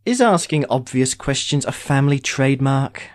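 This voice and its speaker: A man's voice: medium pitch, lively intonation, normal speed, no room sound, natural voice. A man playing an urbane immortal sorcerer in an audio drama, speaking formally with dry, sardonic impatience. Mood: mildly exasperated. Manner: wry, formal, theatrical phrasing.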